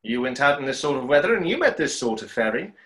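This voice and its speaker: A young man playing a bitter, enigmatic stage conjurer in a play reading, dry and deliberate. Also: mid-range voice, very animated, a bit bright. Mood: dry, sardonic. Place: plain room at home, video call.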